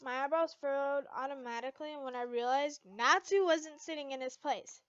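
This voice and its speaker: A woman reading a first-person story aloud expressively, in a halting way with some stumbles over the words. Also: high-pitched, fairly bright tone, dry recording, dull audio. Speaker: amateur fan fiction reader.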